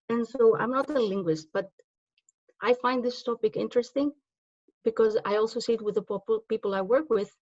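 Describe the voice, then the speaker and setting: A woman from Greece, a native Greek speaker, presents at a conference in a conversational way, with plenty of ums and self-corrections. Her voice is medium in pitch and sounds dry, with no room echo. The recording is slightly dull. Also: a little mellow. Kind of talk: conference talk with slides.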